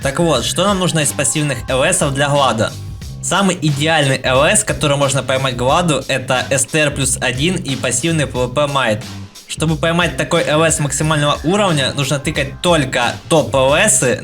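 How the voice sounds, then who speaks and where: A man's voice: on the high side, a bit bright. A man narrating a casual gaming tutorial in an informal, slangy, explanatory way, with a speech impediment on the L sound. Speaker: video game guide creator. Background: background music.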